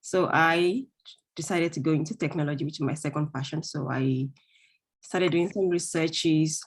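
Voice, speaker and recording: A woman's voice: deep voice, fairly even intonation, moderate pace, breathy edge. A woman who grew up in Greece, introducing herself casually and chattily over a video call, thinking aloud as she goes. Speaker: course participant.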